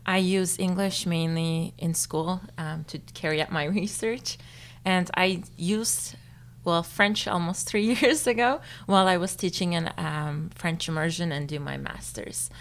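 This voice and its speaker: A woman's voice: fairly low pitch, fairly even intonation, a little slow. A young woman from Turkey, whose first language is Turkish, answering interview questions in a thoughtful way with many fillers and small laughs. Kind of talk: casual interview.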